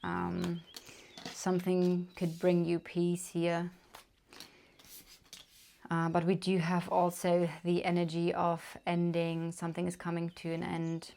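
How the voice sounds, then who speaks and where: A woman from Germany with a German accent, giving a casual tarot card reading with gentle, unhurried talk and many fillers. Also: lowish voice, monotone, vocal fry, a little hiss or hum. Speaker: tarot reader.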